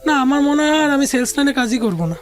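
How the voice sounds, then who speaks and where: A woman's voice: high voice, animated, fast talker. A woman with a Bangladeshi accent telling a personal life story as an interview guest, conversationally, often voicing what others said.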